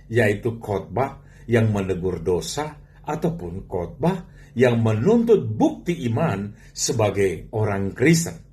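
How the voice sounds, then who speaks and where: A man delivers a sermon as a preacher, using rhetorical questions and emphatic, drawn-out phrasing. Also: mid-range voice, a little mellow.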